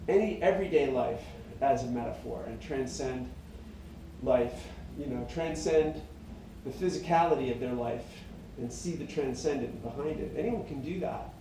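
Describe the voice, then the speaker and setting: A middle-aged man with a high tenor voice and a mellow tone wanders through his thoughts, pausing and restarting often. His voice rings in a very echoey room and sits away from the mic. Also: distant mic, slight background noise. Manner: meandering, reflective, many fillers and restarts. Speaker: singer-songwriter. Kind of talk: rambling casual monologue.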